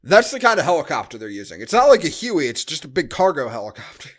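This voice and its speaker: A man with a voice a little higher than most hosts a casual podcast. He recaps a film loosely and conversationally, dropping in dry, sarcastic remarks. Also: very animated, fast, a bit bright. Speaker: podcast host. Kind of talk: casual chat, movie recap.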